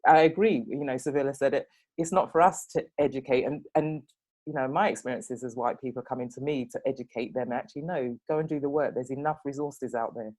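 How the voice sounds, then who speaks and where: A speaker with an English accent talking in a measured, reflective way, often using "you know". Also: medium pitch, darkish tone. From England. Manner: measured, with frequent fillers. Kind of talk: reflective casual conversation.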